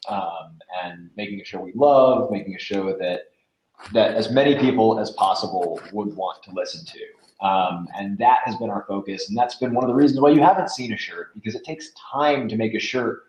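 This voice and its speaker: A man's voice: on the low side, normal speed, a little mellow, a little hiss or hum. A man chatting casually as an interview guest, a voice actor who slips into acting out quoted phrases. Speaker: podcast guest, audio drama voice actor. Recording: roomy, echoing sound.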